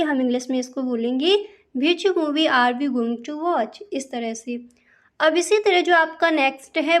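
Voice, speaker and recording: An Indian woman teaching spoken English, slowly repeating each example sentence. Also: high voice. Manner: slow, deliberate repetition of example sentences. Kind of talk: slow spoken-English lesson.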